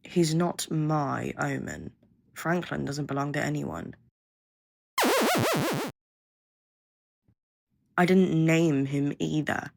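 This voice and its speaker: A woman's voice: deep voice, measured pace, gravelly, a little mellow, exaggerated voice. A woman acting a jaded, blunt young creature researcher in an audio roleplay, with a sardonic, grudging manner. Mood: defensive, blunt.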